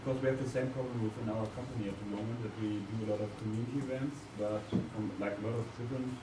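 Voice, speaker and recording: A man's voice: fairly low pitch, steady tone, racing. A man taking part in a casual group discussion, offering input as a participant from the floor. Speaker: meeting participant. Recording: echoey, very noisy room, distant mic.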